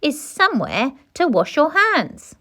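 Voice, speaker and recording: A woman reading a children's storybook, voicing a determined, resourceful woman cyclist with slow, drawn-out emphasis. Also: sits high, very animated, sharp tone, pitched above the performer's own voice, full character voice. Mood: determined, pondering. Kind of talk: children's storybook read-aloud.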